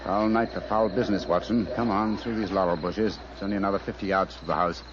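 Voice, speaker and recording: Male actor for commanding British detective, low voice, precise and grave, 1940s radio drama style, slightly noisy vintage recording. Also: steady tone, fast, dull audio, close to an everyday voice. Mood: brisk, grave. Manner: precise, clipped, theatrical diction.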